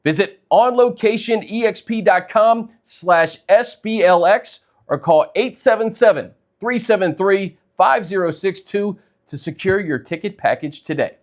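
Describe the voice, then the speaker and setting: A man's voice: high-pitched, measured pace, few pauses. A man announcing an advertisement in a scripted commercial read.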